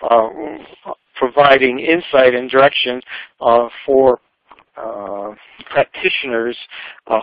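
An older man talks informally as a webinar speaker. He goes haltingly, digressing as he goes, at a middling pitch. The audio is dry but dull and lo-fi. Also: no echo. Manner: halting, digressive, trailing asides. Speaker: speaker at a professional society webinar.

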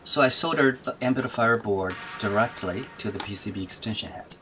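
A man's voice: fairly low pitch, fairly quick. A man giving scripted tutorial narration as a maker presenting an electronics build. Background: background music.

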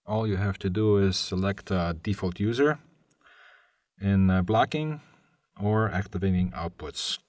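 A man presenting a live software tutorial as a technical webinar presenter, hesitant, with short pauses mid-sentence. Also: deep voice, no echo, clean studio sound. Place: voice-over on a screen-share webinar.

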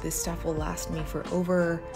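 A young woman talks to the camera from a sofa in her living room, chatty and upbeat. Her voice sits a little low with a gravelly fry at the edges. Clear music plays under her voice. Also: level tone, moderate pace. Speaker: vlogger. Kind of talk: casual vlog talk to camera and voice-over.

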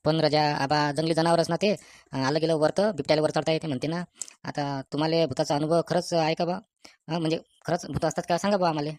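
Brash cartoon village skeptic, young man, rural Vidarbha accent, deep for a female performer, very dry sound woman acts it. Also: steady tone, fast talker, darkish tone, no room sound, natural voice. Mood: hesitant. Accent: rural Vidarbha Marathi. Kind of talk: rustic comic cartoon dialogue.

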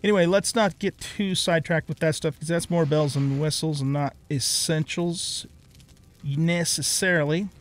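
A middle-aged man giving casual let's play commentary, thinking out loud in a conversational way as he goes. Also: a little high.

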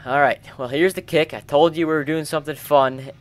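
A man's voice: fairly high pitch, fairly even intonation, a little fast. A man hosting a gaming video, chatting in informal slang as he roleplays and comments on the game with friends. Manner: chatty, informal, peppered with bro-style slang.

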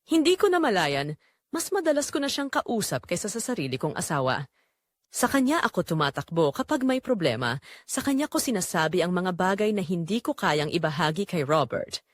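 A woman's voice: fairly low pitch, big pitch swings, moderate pace, no echo, clean studio sound. A woman playing a guilt-ridden married mother confessing a secret affair, narrating in the first person in an emotive, confessional manner. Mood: tender, longing.

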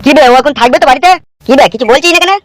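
A man's voice: very high voice, racing, a bit bright, no echo, studio quality. A man voicing brash, lecturing village men in a comedy cartoon, with a blustery manner. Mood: blustering, angry. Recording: music behind the voice.

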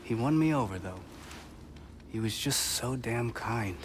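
An actor playing a fond veteran warrior reminiscing in a video game, a wistful storyteller. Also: male voice, mid-range voice, expressive, measured pace, noisy background, lightly acted. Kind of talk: video game dialogue acting.

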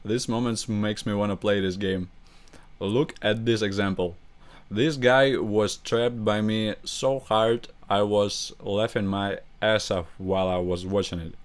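A young man with a Russian accent, a gaming channel host commenting over his gameplay in halting English, with grammar slips. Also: on the low side, fairly even intonation. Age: young adult.

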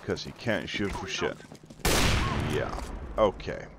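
Deep, slow gaming host. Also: male voice, heavy background noise. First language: Swedish. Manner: mocking, taunting, casual swearing. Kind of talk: sarcastic gameplay commentary. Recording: game gunfire under voice.

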